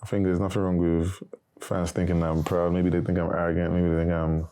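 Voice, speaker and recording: Young guy w a really low voice, flat monotone, chatting about his time on a reality show. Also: fairly quick. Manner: monotone, not very animated. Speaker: evicted reality show contestant. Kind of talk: casual interview answers.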